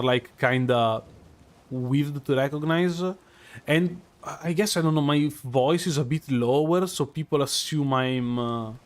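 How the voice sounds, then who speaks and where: A man speaks with a strong Italian accent in a fairly high voice. He streams a game live and muses aloud, stopping and restarting, filling gaps with ums. The talk is loose and unhurried, chatting with his viewers as he plays. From Italy. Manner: musing, hesitant, many fillers and restarts. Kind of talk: live gameplay commentary.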